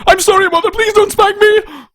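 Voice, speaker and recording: A man voicing a frightened young pony prince, shrill and pleading, in a storybook reading. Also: fairly even intonation, moderate pace, fairly bright tone. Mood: panicked pleading.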